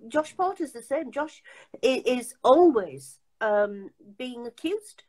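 An older woman hosts a casual video call from home, her voice sitting fairly high. She talks emphatically, often restarting her sentences as she presses a point. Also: lively intonation, slow pace, a little mellow. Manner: chatty, emphatic, many restarts. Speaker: host. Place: home room.

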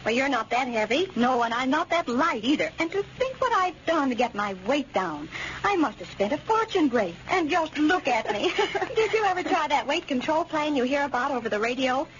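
A woman's voice: high-pitched, sing-song, moderate pace, fairly bright tone. A woman playing a chatty, earnest friend in an old radio advertisement, with an American accent. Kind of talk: 1930s radio advertisement acting. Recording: muffled, noisy old radio recording.

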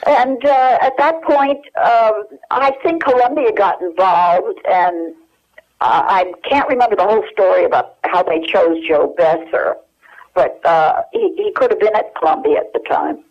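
A woman's voice: mid-range voice, measured pace. An older woman with an American accent, reminiscing casually as an interview guest and searching her memory hesitantly as she talks over the telephone. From United States. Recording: dull lo-fi telephone hook-up.

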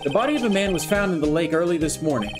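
Reader voicing a news anchor, formal broadcast delivery, lively pitch, music behind. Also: fast, natural voice. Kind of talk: mock news broadcast reading. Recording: background music.

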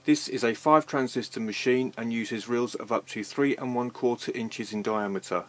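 A man reads a scripted test announcement in a measured, deliberate way, as a demonstrator of vintage equipment. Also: mid-range voice.

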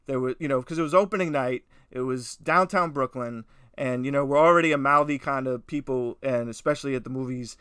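A man co-hosting a podcast, chatting casually in animated, slangy talk. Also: mid-range voice, a little fast, few pauses, clear, resonant tone, dry recording, clean studio sound. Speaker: podcast co-host. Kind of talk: casual movie discussion podcast.

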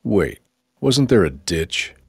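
A man playing a stern military interrogator in a video game, questioning in a dry, formal, skeptical way with a husky voice. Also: lowish voice, very animated, takes it slowly, no room sound, heavily acted. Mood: doubting.

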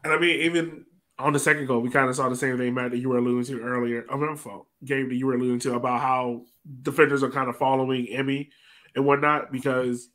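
A man's voice: medium pitch, level tone, fast talker, fairly bright tone. A man hosting a casual sports podcast, chatting with his panel over a video call. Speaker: podcast host. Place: indoor room on a video call.

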